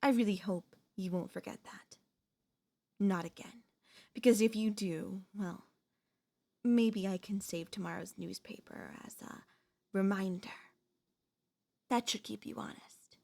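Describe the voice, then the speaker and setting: A woman playing a possessive, manipulative wife in an ASMR audio roleplay, speaking to the listener in a sweetly condescending, deliberate, theatrical way. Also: medium pitch, creaky, mellow. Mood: coaxing, veiled threat. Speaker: voice actress.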